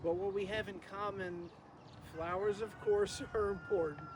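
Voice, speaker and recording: An older man giving an informal, reminiscing memorial tribute outdoors, speaking haltingly and rambling with frequent pauses. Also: high voice, fairly even intonation. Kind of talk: informal reminiscing speech to a gathering. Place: outdoors in a garden. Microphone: distant camera microphone, no working PA. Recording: noisy outdoor recording, distant microphone.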